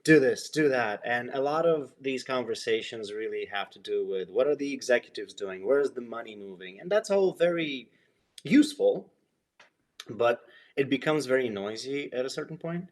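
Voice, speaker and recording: A man's voice: mid-range voice, animated, moderate pace. A man hosting a podcast interview, explaining his interest in people in a rambling, thoughtful way full of fillers. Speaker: podcast host. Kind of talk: casual interview intro.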